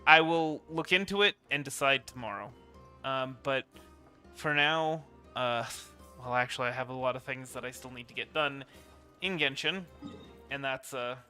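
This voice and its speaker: A man's voice: medium pitch, moderate pace, pushed and bright, faint music behind. A man doing casual live stream commentary on a video game, rambling and repeating his phrases, close on the mic.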